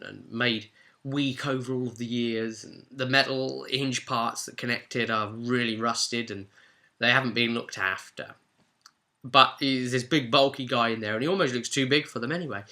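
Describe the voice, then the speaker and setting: A man giving an animated, chatty, informal recap of a role-play session as game master. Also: mid-range voice. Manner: chatty, acts out players' exchanges.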